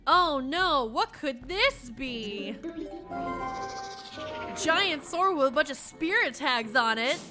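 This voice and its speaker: A high-voiced young woman with a twang, stuffy from allergies, keeps up sarcastic running commentary at her desk mic while she plays. She talks back to the characters and her chat. Game music plays clearly behind her. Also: lively intonation, slow, pauses often. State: sniffly from allergies. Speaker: streamer. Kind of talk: casual let's-play commentary.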